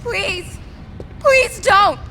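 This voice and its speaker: A woman's voice: very high-pitched, extremely slow, bright, forceful tone, slight background noise, full character voice. An actress playing a sultry, manipulative villainous gangster woman in a video game, mocking in manner. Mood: desperate pleading.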